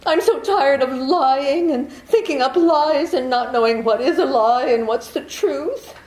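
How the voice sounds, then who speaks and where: A woman's voice: high-pitched, measured pace, darkish tone. A woman playing a beguiling, deceitful young woman in trouble in a 1940s-style radio play, coy and pleading. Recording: echoey room.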